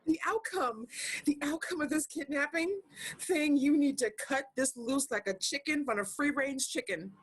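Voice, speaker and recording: A woman's voice: high voice, normal speed, natural voice. A woman playing a blunt, taunting goddess who reads fortune cards in a comedy screenplay reading. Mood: scolding. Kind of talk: comedy screenplay table read. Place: online video call reading.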